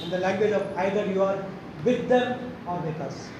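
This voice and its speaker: Male moderator, high-pitched and hesitant, recorded from afar in a booming hall. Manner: halting, with many fillers and restarts. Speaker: event moderator. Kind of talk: introductory remarks. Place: lecture hall. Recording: distant, very echoey hall with noise and rumble.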